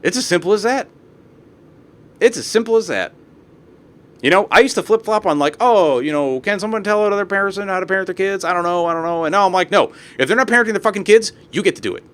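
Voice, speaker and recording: A man's voice: high voice, expressive, fast talker, fairly bright tone. A young man streaming live, reading posts aloud and ranting about them with plenty of swearing. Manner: profane, ranting, reads posts aloud with asides.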